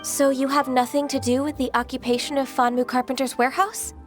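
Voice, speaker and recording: Woman acting poised, high-voiced young official. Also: little pitch movement, faint music behind, close to an everyday voice. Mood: stern. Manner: formal, measured, solemn. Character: poised, earnest young female government secretary, politely stern. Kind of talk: video game voice acting.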